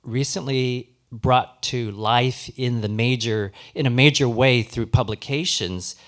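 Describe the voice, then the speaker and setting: A middle-aged or older man giving semi-formal closing remarks at a live event in an earnest, halting way. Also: mid-range voice, fairly bright tone. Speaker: historical society president giving closing remarks. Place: live awards ceremony before an audience.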